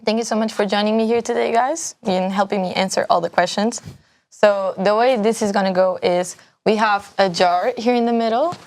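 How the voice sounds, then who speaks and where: Upbeat young woman hosting a talk show. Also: mid-range voice. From Portugal. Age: young adult. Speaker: host.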